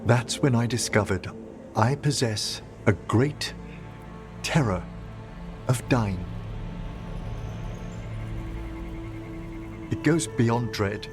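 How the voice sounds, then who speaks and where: An actor playing a courteous, scholarly, melancholy older necromancer mage in a video game, speaking formally and theatrically. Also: male voice, medium pitch, takes it slowly, pauses often, over music. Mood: haunted, confiding.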